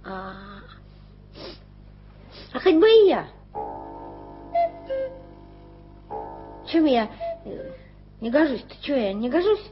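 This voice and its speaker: An actress playing a stubborn, streetwise street girl in a radio play, talking bluntly and roughly. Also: sits high, sing-song, measured pace, soft background music. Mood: defiant. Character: street girl Tommy, scrappy stubborn waif. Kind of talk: 1980s studio radio play acting. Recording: dull old radio recording.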